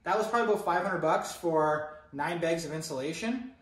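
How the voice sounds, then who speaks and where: A man's voice: fairly high pitch, brisk, a bit bright. A young man from Canada, a real estate investor, walks viewers through his renovation. He keeps calling them guys, upbeat and chatty, in an empty apartment with the camera a few steps away. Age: young adult. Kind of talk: walkthrough tour talking to camera. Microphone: camera held by another person, a few steps away. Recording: echoey empty room, mic at a distance.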